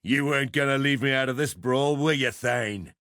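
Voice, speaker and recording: An actor playing a brash, gleefully violent male pirate brawler in a video game, taunting with a gravelly, forceful swagger. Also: mid-range voice, moderate pace, no room sound, studio quality, lightly acted. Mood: mocking. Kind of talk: video game combat barks.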